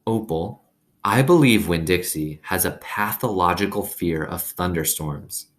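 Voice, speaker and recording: Man as a low-voiced, mild preacher father. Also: a little slow. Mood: calm, reassuring. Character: girl's father, a mild, thoughtful adult preacher.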